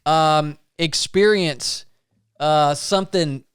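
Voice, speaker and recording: A man's voice: a little high, slow, a bit bright, clean tone, no room sound, studio quality. A man hosting a podcast, talking in an earnest, folksy, casual way and often tagging his sentences with "man". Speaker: podcast host. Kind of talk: casual interview chat.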